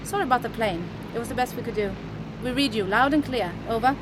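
A woman's voice: fairly high pitch, noisy background, natural voice. An actress playing a confident, wry woman pilot who loves speed, in an audio drama. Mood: breezy. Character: daring woman pilot, speed-loving adventurer.

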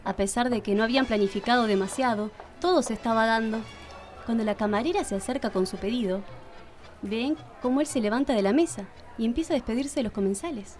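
A woman's voice: a little high, fast, a little mellow, soft background music. A woman with an Argentine accent reading scripted narration for a radio play.

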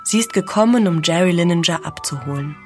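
Woman, low voice, narrator, scripted documentary reading, faint background music. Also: animated, brisk, darkish tone.

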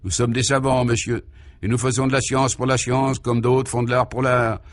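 A man's voice: medium pitch, level tone, moderate pace, a little hiss or hum, lightly acted. A man voicing a pompous, exalted inventor who lectures grandiloquently in solemn nonsense jargon for a comic radio serial. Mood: exalted, grandiose. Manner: grandiloquent, emphatic, oratorical.

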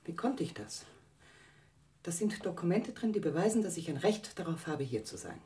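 A woman's voice: fairly low pitch, very animated, normal speed, warm tone. An actress playing a guilt-ridden, bitter middle-aged mother in a German radio drama, anguished and fearful in manner.

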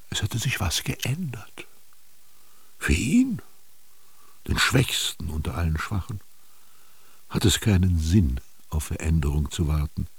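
Brooding, commanding master for radio drama: very low, slow, husky, declamatory. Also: male voice, a little mellow, no room sound, full character voice. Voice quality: gravelly, husky. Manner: declamatory, halting, with whispered emphasis. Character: commanding, brooding male master dictating his bitter text to a scribe. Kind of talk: German literary radio drama monologue.

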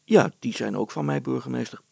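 A man's voice: medium pitch, fairly quick, creaky, warm tone, slightly rough, no echo. A man voicing an honest, nervous village inventor in a storybook, speaking hesitantly and deferentially. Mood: meek.

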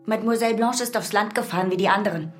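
Brisk, quick-talking nurse, played by an actress. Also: female voice, mid-range voice, far from the mic, faint music behind, close to an everyday voice. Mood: matter-of-fact. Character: brisk female hospital nurse. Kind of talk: radio drama acting.

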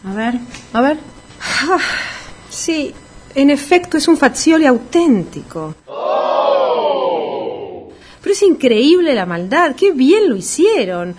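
A woman's voice: high-pitched, big pitch swings, takes it slowly, pauses often, a little mellow, a faint crowd, heavily acted. Brazen female con artist, Rioplatense, posing as an affronted pianist, swooping theatrical delivery for radio comedy, faint noise behind. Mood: scheming amazement. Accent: Rioplatense Argentine Spanish. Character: brazen woman swindler posing as an indignant pianist.

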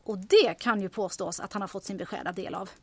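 Give me a woman playing a brisk, matter-of-fact female conspirator in an audio drama, urgent and resolute. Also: medium pitch, slightly rough.

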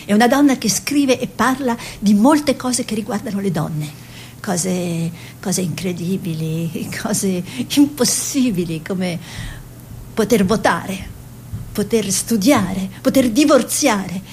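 An actress playing a young peasant woman painter, dreamy and stubborn, in an expressive theatrical delivery. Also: mid-range voice, slow, slight background noise. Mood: musing. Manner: expressive, musing, emphatic repetitions. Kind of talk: theatrical monologue and dialogue.

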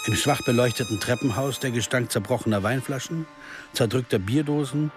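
Guy acting sober sleuth narrator for a radio crime play, deliberate, normal pitch, music under the voice. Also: natural voice. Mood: brooding, observant. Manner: understated, deliberate, noir-like storytelling. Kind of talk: first-person radio crime narration and dialogue.

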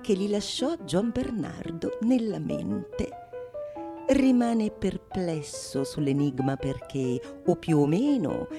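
Female actress-narrator, theatrical storytelling with deliberate emphasis and very lively intonation. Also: lowish voice, over music. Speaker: actress-narrator of a stage monologue.

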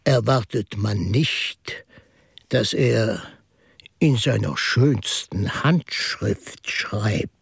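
A gravelly, musing priest-detective. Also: male voice, fairly low pitch, no echo, studio quality, heavily acted. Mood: whimsical, explaining. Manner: deliberate, musing, whimsical. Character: shrewd, whimsical male priest turned amateur detective. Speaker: actor in a radio play. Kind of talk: German radio drama dialogue.

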